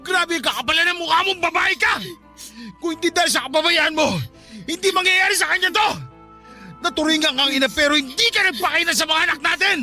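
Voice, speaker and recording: An actor playing a grieving, furious father who shouts and sobs accusations at his wife. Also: very high voice, fast talker, faint music behind, full character voice. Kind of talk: audio drama acting.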